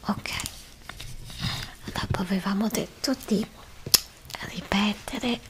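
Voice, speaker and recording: A woman whispers slowly, close to the microphone. She plays a doctor in an ASMR roleplay, giving instructions to a patient in a gentle, hesitant way. Her delivery is hushed and unhurried throughout. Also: mid-range voice. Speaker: ASMR performer playing a doctor. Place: small indoor setup with dark backdrop. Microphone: desktop condenser microphone, close up.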